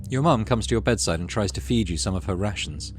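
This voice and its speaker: A man narrating a story game aloud as a gaming host, expressive and measured, with casual asides. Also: on the low side. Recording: clearly audible background noise.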